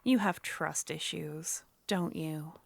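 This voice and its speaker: A woman voicing a clingy, menacing yandere witch in an ASMR roleplay, speaking in a soft, coaxing whisper. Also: low-pitched, slow pace, vocal fry, slight background noise, pitched below the performer's own voice, full character voice. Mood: indignant, frantic.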